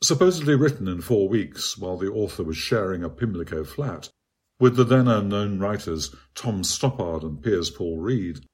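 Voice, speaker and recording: A man reads his own literary essay aloud as scripted narration, in a measured, articulate and bookish manner. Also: low voice, animated, a little mellow, husky. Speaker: author reading own article.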